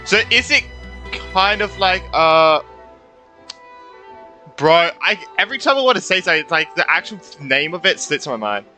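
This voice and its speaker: A young man giving casual, rambling, excitable reactions as he plays a video game. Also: high voice, normal speed, long pauses. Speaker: streamer playing a video game. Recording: game music faintly behind.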